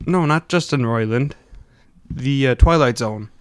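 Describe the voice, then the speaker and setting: A man's voice: mid-range voice, clean tone, no echo. Rambling young man with a Wisconsin accent. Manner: rambling, self-correcting, quick to laugh. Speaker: podcast co-host. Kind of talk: casual chat.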